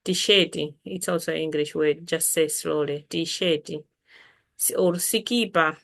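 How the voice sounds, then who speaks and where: A woman with a Malawian accent teaches vocabulary in an informal lesson. Her voice sits a little low and she takes her time, saying words again deliberately so learners can follow. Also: steady tone, vocal fry, a bit bright. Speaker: language teacher.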